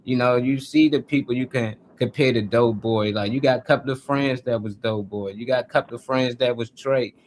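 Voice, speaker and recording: A man's voice: medium pitch, steady tone, brisk, few pauses, slight background noise. A man with a mid-range voice hosting a casual live discussion. He talks informally and colloquially, laying out his opinions like someone telling stories to friends. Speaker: talk show host.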